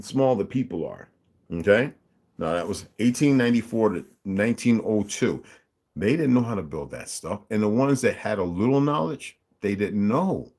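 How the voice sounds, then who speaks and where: A man's voice: on the low side, darkish tone. A man hosting his own channel, talking through his views in a casual monologue, with emphatic asides and listing figures aloud. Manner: emphatic, mocking interjections; reads figures aloud, asks listeners to follow along. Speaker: channel host.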